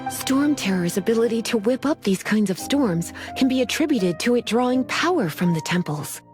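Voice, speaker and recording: A woman playing a flirty, teasing sorceress librarian in a fantasy video game, coy and unhurried in her phrasing. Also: a little high, lively intonation, darkish tone, close to an everyday voice. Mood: wry. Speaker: librarian and mage. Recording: game music under the voice. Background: music.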